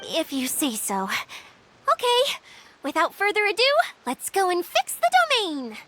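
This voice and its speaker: High, earnest young inventor girl. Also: wildly swooping pitch, measured pace, a bit bright, heavily acted. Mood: brisk, explanatory. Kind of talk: English anime game voice acting.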